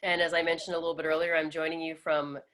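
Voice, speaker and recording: Woman from florida hosting a zoom webinar, lowish voice, upbeat. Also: flat delivery, fast, fairly bright tone. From Florida, USA. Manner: upbeat, welcoming. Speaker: webinar host. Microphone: video call.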